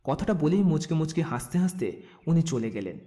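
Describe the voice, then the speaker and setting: A male narrator reads a scripted audio story. His tone is soft-edged and mellow, pitched slightly above average for a man. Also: big pitch swings, normal speed.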